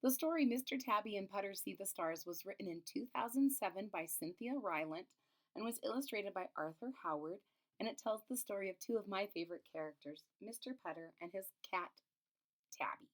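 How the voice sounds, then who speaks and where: A woman's voice: medium pitch, expressive, brisk, rough recording. A woman reads a children's picture book aloud expressively, adding simple explanations as a teacher would. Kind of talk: children's storybook read-aloud with asides.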